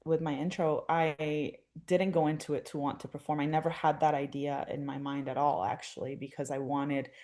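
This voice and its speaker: A woman's voice: low voice, level tone, moderate pace, few pauses, darkish tone. A young adult woman from Syria, a therapist, talking in a reflective, casual way with many fillers and restarts. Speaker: therapist, dance instructor guest. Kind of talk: casual interview conversation.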